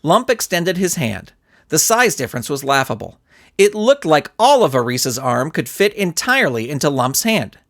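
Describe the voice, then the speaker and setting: A man's voice: fairly high pitch, sing-song, normal speed, a bit bright. A man narrating a scripted story as an audiobook reader. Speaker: narrator and author.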